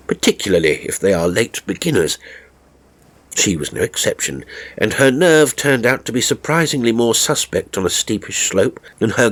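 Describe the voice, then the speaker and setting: Male storyteller, lower register, highly varied intonation, wry and theatrical scripted narration. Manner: theatrical, wry raconteur delivery.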